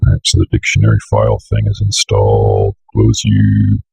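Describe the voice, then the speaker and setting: A young man giving a casual, unscripted software walkthrough, offhandedly muttering to himself as he works, with a gravelly, raspy voice. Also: rumbling low, monotone, moderate pace, no echo, rough recording. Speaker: tutorial host.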